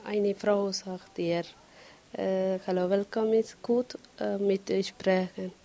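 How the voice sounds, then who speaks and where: A young woman from Sri Lanka with a Sri Lankan accent, a non-native learner searching haltingly for words in an interview. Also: mid-range voice, level tone, gravelly, warm tone. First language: not German. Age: young adult.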